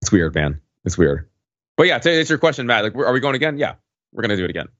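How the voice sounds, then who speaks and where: A middle-aged man chatting casually on a podcast, telling stories in a halting way with many pauses. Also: mid-range voice, very animated, fairly bright tone, no echo, highs cut off. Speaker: podcast panelist. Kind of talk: casual conversational storytelling.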